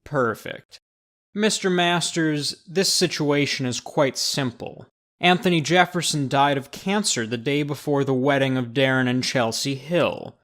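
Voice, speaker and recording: Male actor as prim, impatient attorney, slightly high voice, measured slow delivery, audio drama. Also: fairly bright tone. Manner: formal, prim, precise. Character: stuffy, impatient male lawyer opposing a will.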